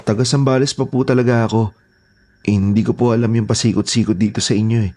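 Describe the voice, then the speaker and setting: An actor playing a polite, eager young houseboy, earnest and deferential, in a horror audio drama. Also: male voice, on the low side, fairly even intonation, fast talker, warm tone, soft background music, lightly acted. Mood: apologetic, insistent.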